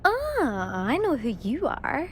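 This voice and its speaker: A woman playing a sharp-tongued, knowing teenage witch in a video game. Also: sits high, wildly swooping pitch, slow, a little hiss or hum, exaggerated voice. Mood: knowing, teasing. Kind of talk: scripted video game dialogue.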